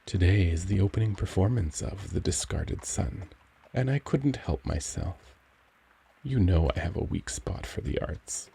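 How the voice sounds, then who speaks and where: A man playing a young, charming, cultured vampire gentleman in an ASMR audio drama, speaking in a gentle, caring, genteel and formal manner. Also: low voice, a little slow, gravelly, husky, exaggerated voice. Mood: charming, inviting. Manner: genteel, formal, soft-spoken, courtly phrasing.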